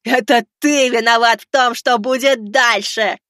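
A man's voice: very high voice, fairly even intonation, measured pace, sharp tone, no echo, studio quality, voice pushed up, heavily acted. A man voicing a cruel boy bully who taunts in a mocking sing-song with menacing sweetness. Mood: menacing glee.

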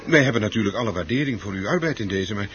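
A politely evasive club chairman in a radio play. He hems and hesitates with formal apologies, his pitch rising and sinking in a very animated way. The recording is old and muffled. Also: rapid-fire. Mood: apologetic. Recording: dull, slightly noisy old radio recording.

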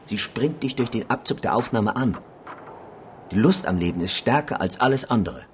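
An actor playing a weary, skeptical photographer in a naturalistic radio drama, with a sardonic manner. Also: male voice, mid-range voice, expressive, moderate pace, a little hiss or hum, close to an everyday voice. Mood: exasperated. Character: weary, skeptical male photographer documenting a disaster zone.

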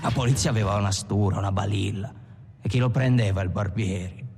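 A man's voice: lowish voice, a little fast, close to an everyday voice. An actor playing a boastful Italian bank robber who recalls his glory days in colloquial, emphatic monologue. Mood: proud, boastful. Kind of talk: dramatic monologue, confessional first-person storytelling. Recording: loud music under the voice.

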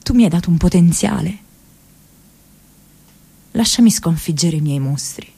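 An actress playing an idealistic, restless young woman in a radio theatre monologue, speaking expressively and haltingly, like an affectionately rebellious daughter. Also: fairly low pitch, creaky, husky, full character voice. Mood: pleading, resolute. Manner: halting, expressive, with broken repetitions.

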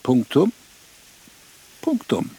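An actor playing an imperious, demanding prince-elector in a radio drama, speaking in a stately archaic manner with a husky voice. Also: male voice, extremely slow, mellow, low-quality recording. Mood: commanding.

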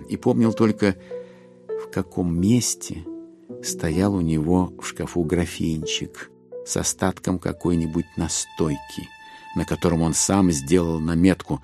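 A man narrating a literary story in an expressive, theatrical storytelling manner. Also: low-pitched. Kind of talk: scripted dramatic literary narration.

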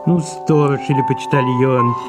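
A put-upon male secretary played by an actor in a radio play. He is mid-pitched, fussy and deferential, hesitating and protesting as he goes. Music is clearly heard behind him. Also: fairly even intonation, unhurried, natural voice. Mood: reluctant, baffled. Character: fussy, reluctant, exasperated male secretary to a grand actress. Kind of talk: audio drama acting.

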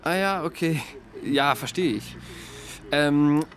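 A man's voice: on the high side, slow pace. A man playing an irritable, sleepless young man fed up with noise in a naturalistic radio drama, hesitant when trying to be polite. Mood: polite, awkward.

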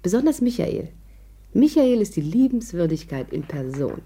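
A woman's voice: lowish voice, big pitch swings, normal speed, warm tone, breathy edge, heavily acted. An actress playing a witty, spirited, worldly woman in a 1950s radio drama, wry and articulate as she narrates and talks. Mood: lively storytelling.

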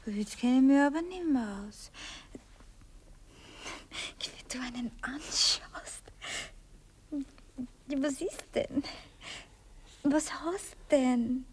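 Radio play: naive working-class Viennese girl, fairly high voice, colloquial, unsure, artless. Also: extremely slow, long pauses, breathy edge, full character voice. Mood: bewildered. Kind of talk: radio play acting in dialect.

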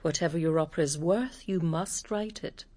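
An actress playing a worldly, frank bohemian singer in a radio drama, with a British accent and a wry, direct manner. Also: female voice, sits low, moderate pace, dark tone. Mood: worldly, urging.

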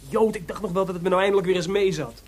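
A man's voice: high voice, racing. A man playing an anxious, frustrated court composer in a radio drama, agitated and hesitant in manner. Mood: disappointed.

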